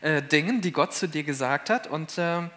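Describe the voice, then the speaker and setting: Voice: medium pitch, moderate pace, fairly bright tone. A pastor interviewing a guest on a church stage, asking encouraging questions.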